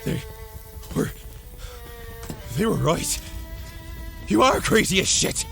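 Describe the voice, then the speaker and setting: Casting: Southern outlaw leader, gravelly, belligerent, bent on revenge, highly animated Western radio-play villain, faint score behind. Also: male voice, slow, soft background music, exaggerated voice. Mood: scornful, uneasy. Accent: American Southern. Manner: belligerent, threatening. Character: vengeful hot-headed outlaw gang boss. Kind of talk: Western audio drama acting.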